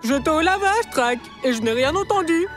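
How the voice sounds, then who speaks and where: High-voiced fire-engine cartoon character. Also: female voice, lively intonation, normal speed. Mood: breezy. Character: cheerful female fire-engine cartoon dinosaur. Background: loud cartoon music.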